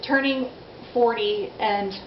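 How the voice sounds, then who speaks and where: A woman's voice: a little high, takes it slowly. Woman in her forties, candid vlog chatter, self-deprecating, dull lo-fi sound, faint hiss. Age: 40s. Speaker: vlogger. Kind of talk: casual video diary. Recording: dull lo-fi audio in an echoey room with faint noise.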